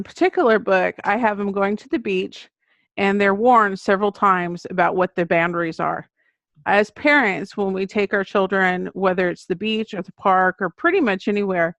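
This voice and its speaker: Middle-aged American female, author giving an interview, mid-range pitch, very dry sound. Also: a bit bright, no room sound, muffled recording. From Texas, United States. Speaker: children's book author, podcast guest. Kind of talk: interview conversation, explaining her writing.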